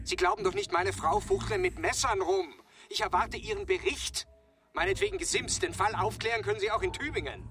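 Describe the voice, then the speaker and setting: A man's voice: sits high, sing-song, brisk, creaky, fairly bright tone, full character voice. A man playing an impatient, imperious husband in a radio drama, curt and haughty.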